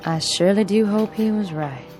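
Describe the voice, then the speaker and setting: An actress playing a superstitious household maid with a folksy, cautionary manner in a radio drama. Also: female voice, mid-range voice, moderate pace, music in the background, close to an everyday voice. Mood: wary, worried. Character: wary, superstitious household maid in New Orleans.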